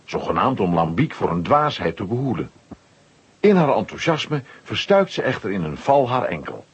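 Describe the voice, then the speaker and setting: A man with a husky voice narrating a children's audio drama in a scripted storytelling style. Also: on the low side, big pitch swings, normal speed. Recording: slightly dull recording.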